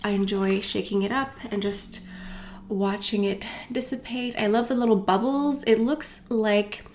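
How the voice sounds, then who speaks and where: A woman's voice: on the high side, darkish tone. A woman chatting casually as she unboxes and reviews beauty products, rambling with asides and fillers. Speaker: beauty vlogger.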